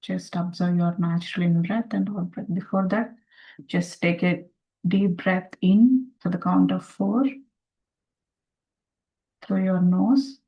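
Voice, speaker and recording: A woman leading a guided meditation in a calm, deliberate, soothing way, with pauses between short phrases. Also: on the low side, gravelly, husky, muffled recording. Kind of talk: slow guided meditation instruction. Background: meditation music.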